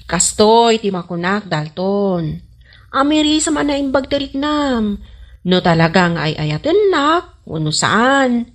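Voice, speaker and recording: A woman's voice: on the high side, expressive, slow. A woman playing a feisty noodle vendor in an Ilocano comedy radio drama. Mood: doubtful, pleading.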